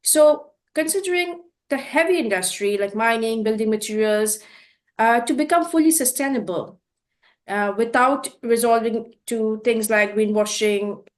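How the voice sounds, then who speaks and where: Brief: woman host, fairly high voice, polite and searching, with plenty of ums. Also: a little slow. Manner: polite, searching, with many fillers. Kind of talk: interview questions.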